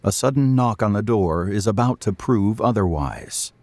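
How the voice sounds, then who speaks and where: A man narrating a scripted audio drama as a storyteller, in a deliberate, literary manner. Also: fairly low pitch, animated, a little mellow, no room sound.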